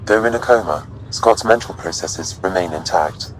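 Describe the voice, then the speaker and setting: A man voicing a calm artificial intelligence in a video game, speaking in formal, measured, clinical phrases. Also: deep voice, slight background noise, lightly acted, low-quality recording. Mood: clinical, composed. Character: calm male artificial intelligence linked to brain implants.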